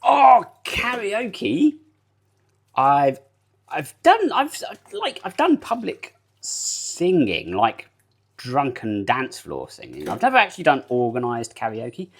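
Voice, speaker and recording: A man's voice: medium pitch, wildly swooping pitch, slow. British male streamer, cozy rambling chat with chat, bouncy up-and-down delivery, frequent laughs, home kitchen. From United Kingdom. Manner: rambling, chatty, laughs often, answers chat. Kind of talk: casual live-stream chat. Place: home kitchen while baking.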